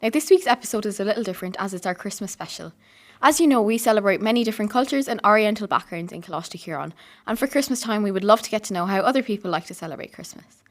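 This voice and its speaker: A woman's voice: medium pitch, expressive, very fast. A teenage young woman hosting an interview show with prepared questions. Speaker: show host.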